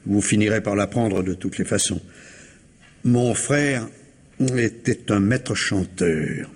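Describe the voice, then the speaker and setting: A fastidious retired civil servant in his sixties, with a husky, lowish voice. He speaks with formal, hesitant courtesy, careful and reserved, in radio mystery style. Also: a little mellow. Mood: grave, reserved. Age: older. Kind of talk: French radio mystery play acting.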